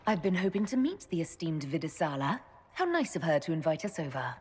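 Direct young leader, lower voice, no-nonsense. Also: female voice, sing-song, fairly quick, darkish tone, heavily acted. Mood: steady. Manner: direct, crisp questions. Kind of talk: video game voice acting.

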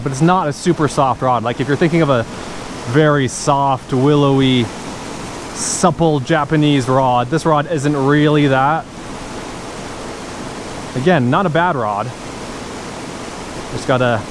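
A woman narrating casually to viewers while fishing a mountain creek, chatty and breaking into excited exclamations, recorded on a point-of-view action camera outdoors. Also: sits low. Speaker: fishing vlogger. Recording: loud rushing creek noise. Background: rushing stream water.

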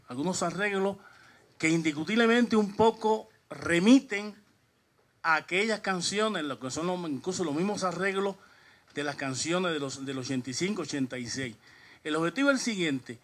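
A middle-aged man, a journalist asking a question at a press conference in a halting, repetitive way. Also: fairly high pitch, fairly bright tone. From Cuba. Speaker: newspaper journalist.

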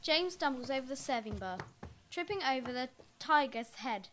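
A woman's voice: sits high, lively intonation, moderate pace, a bit bright, a little hiss or hum. A young woman reading stage directions as scripted narration for a play. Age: young adult.

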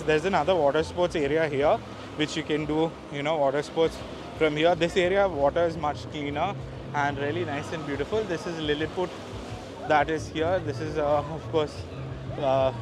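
A man vlogging a walking tour of a beach, chatting casually to his viewers with plenty of fillers. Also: a little high. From India. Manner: chatty, addresses viewers as guys, many fillers. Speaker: travel vlogger. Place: outdoors on a busy beach. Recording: outdoor noise behind the voice.

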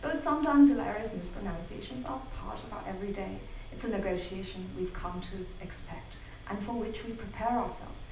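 A woman's voice: medium pitch, darkish tone, slight background noise. Woman speaking from far off in a roomy hall. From Zambia. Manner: measured and articulate, with wry asides. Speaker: speaker giving a talk. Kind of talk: prepared public talk. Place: theatre hall. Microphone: venue sound picked up from the back of the hall.

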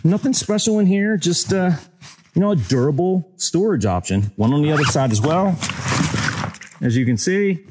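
A man's voice: on the high side, moderate pace, a little hiss or hum. A man in his forties reviewing a hiking backpack in a casual, conversational way, filming himself on a wooded trail. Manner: relaxed, conversational, many fillers. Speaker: outdoor gear reviewer. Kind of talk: casual gear review vlog. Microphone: handheld action camera at arm's length.

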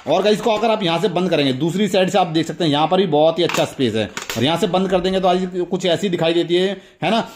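A man's voice: sits high, fast talker, hardly any pauses, slight background noise. An Indian man hosting a toy review, enthusiastically showing items and repeatedly addressing his viewers. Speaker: toy review channel host. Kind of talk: excited toy unboxing commentary.

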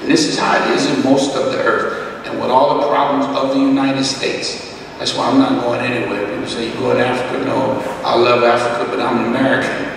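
A middle-aged man teaching a Bible study as pastor, talking conversationally with many fillers and a slightly rough voice, at a podium mic. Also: medium pitch, little pitch movement, a little fast. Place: church hall, indoors. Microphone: podium microphone, far camera. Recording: echoey hall, distant mic, background hiss.

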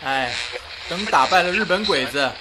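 An actor playing an earnest, patriotic wartime radio engineer who speaks with sincere determination in a radio drama. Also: male voice, on the high side, expressive, moderate pace, heavy background noise, close to an everyday voice. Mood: determined.

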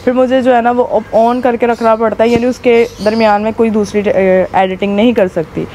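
A hoarse woman rambles through a casual vlog, her voice mellow and soft-edged. She films outdoors on a rooftop with a phone camera. Faint traffic and outdoor noise sit behind her. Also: a little high, level tone, fairly quick. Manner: chatty, rambling, with many fillers. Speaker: vlogger.

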